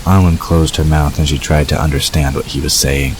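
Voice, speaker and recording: A man's voice: rumbling low, little pitch movement, a little fast. A man reading scripted story narration for an audio drama. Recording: noisy, rain-like hiss behind.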